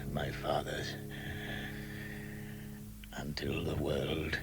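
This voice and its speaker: A dying dwarf king, super deep weak breathy voice, really slow, old radio play. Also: level tone, music in the background, full character voice. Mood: solemn, weak. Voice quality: breathy, whispery. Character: dying proud dwarf king, solemn and repentant. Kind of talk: 1960s radio drama acting.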